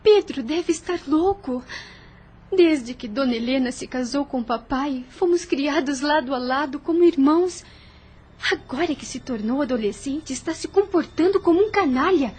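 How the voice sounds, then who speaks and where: An actress with a Brazilian accent playing an earnest, anxious young mistress of a plantation house in an audio drama. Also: female voice, high-pitched, expressive, moderate pace, darkish tone. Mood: troubled, reflective. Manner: earnest, hesitant when uneasy.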